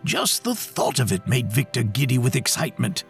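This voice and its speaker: A man narrating a scripted children's story in an expressive, dramatic way. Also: medium pitch, moderate pace, soft background music.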